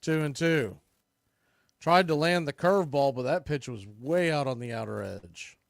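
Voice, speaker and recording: A man calling baseball play-by-play for a fan radio stream, steady, lifting his voice on big plays. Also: on the high side, moderate pace, creaky, dry recording, studio quality. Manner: steady calls, rising excitement on big plays. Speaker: play-by-play announcer.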